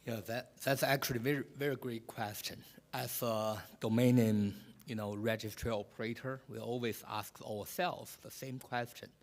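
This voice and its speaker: A man's voice: mid-range voice, steady tone, moderate pace, hardly any pauses. Man, panelist, earnest, heard with long hall reverb. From China. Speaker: panelist, registry operator. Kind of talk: panel discussion.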